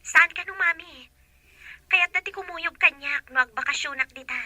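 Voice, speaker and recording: Casting: woman as dutiful young Filipino daughter in audio drama, very high bright voice, curious, Filipino accent. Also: moderate pace, dry recording, exaggerated voice. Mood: explaining. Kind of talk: Ilocano audio drama acting.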